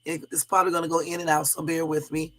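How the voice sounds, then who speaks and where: A hoarse woman from the US West Coast hosting a live stream, chatting casually with viewers and sprinkling in lots of fillers. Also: sits low, flat delivery, fast, faint music behind. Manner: chatty, addresses viewers as y'all, many fillers. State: hoarse from a cold. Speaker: livestream host.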